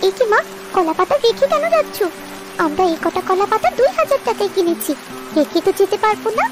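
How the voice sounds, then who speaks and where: A woman's voice: very high voice, sing-song, brisk, over loud music. A woman voicing an anxious, pleading poor village female character in a moral cartoon. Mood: earnest. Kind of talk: Bengali moral cartoon dialogue.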